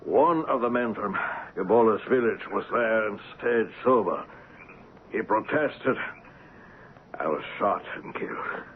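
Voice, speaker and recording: An actor in a radio drama playing a weak, feverish colonial trader who talks in a halting, earnest, troubled way. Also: male voice, lowish voice, expressive. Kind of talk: old-time radio drama acting. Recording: muffled, noisy vintage radio broadcast.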